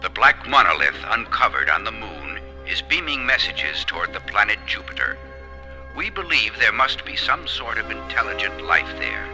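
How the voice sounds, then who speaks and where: Man acting a low, animated official scientist. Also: moderate pace. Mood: grave, official. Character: official male scientist briefing astronauts by video. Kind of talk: children's read-along audio drama. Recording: music under the voice.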